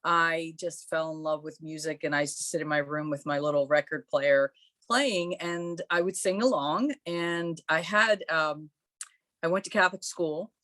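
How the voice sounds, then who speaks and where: A woman's voice: low voice, normal speed, no echo. A woman born in Croatia, a musician guest in a podcast interview, telling stories in a chatty, friendly way. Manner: warm, chatty storytelling with laughs. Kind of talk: casual interview.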